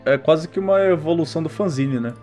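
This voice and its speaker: A man's voice: fairly high pitch, fairly quick, mellow, soft background music. A Brazilian man hosting a podcast, chatting casually in informal, slangy speech. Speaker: podcast host. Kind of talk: casual chat.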